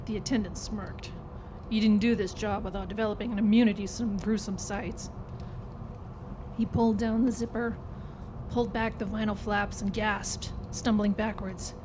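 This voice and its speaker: A woman's voice: medium pitch, steady tone, normal speed, noisy background. A woman reading a scripted horror story dramatically, recorded live in a performance club.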